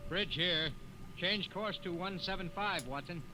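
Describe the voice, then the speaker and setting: A man's voice: high voice, measured pace. An actor playing a fed-up young crewman on an underground warship, clipped and agitated, in 1950s radio drama style. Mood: dutiful, clipped. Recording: noisy old radio recording.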